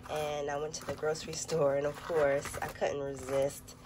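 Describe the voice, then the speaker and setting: A woman's voice: low-pitched, flat delivery, moderate pace, background noise. A young adult woman chatting casually on a family vlog, a little nasal from a cold, laughing often. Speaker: family vlogger. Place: home kitchen, later outdoors in a park. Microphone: phone held at arm's length.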